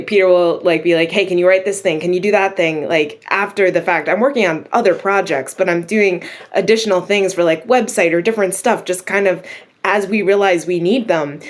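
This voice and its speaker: A young woman, a script writer, talking casually in an interview, animated and quick to laugh. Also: on the low side. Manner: animated, laughs often, acts out her own thoughts.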